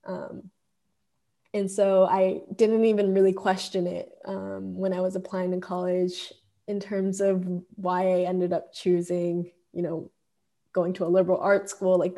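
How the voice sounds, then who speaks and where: Young adult female interviewee. Relaxed, low-key tone with flat intonation, conversational. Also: measured pace. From Japan. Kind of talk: casual conversational personal account.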